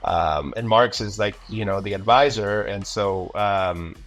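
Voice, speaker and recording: A man chatting casually in an interview as a voice actor, animated and rambling, repeating words as he tells anecdotes. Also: lowish voice, unhurried, soft background music.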